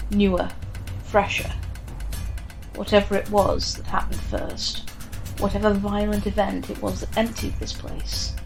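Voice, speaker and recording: A woman reading a scripted horror story in the second person, with a measured, grave delivery. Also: mid-range voice, creaky. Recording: music behind the voice. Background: background music.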